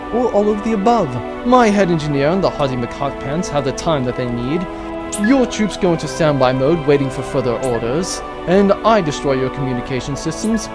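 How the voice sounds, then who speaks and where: Man playing a smug swaggering space captain. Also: fairly high pitch. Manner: cocky, theatrical bragging. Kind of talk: comedic audio adventure serial acting.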